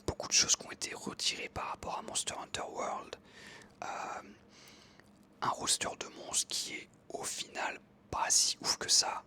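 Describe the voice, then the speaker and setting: Whispered ASMR narrator, very bright and sibilant, close-miked casual game commentary. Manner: whispering throughout, hesitant pauses with frequent self-corrections. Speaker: ASMR video creator.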